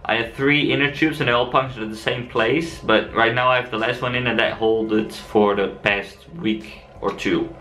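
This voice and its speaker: A young Dutch man with a Dutch accent, answering viewer questions in a relaxed, chatty vlog. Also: mid-range voice, normal speed, few pauses, a little hiss or hum, poor audio. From Netherlands. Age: young adult. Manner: relaxed and chatty, frequent fillers. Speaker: cycle-touring vlogger. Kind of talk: casual vlog Q&A.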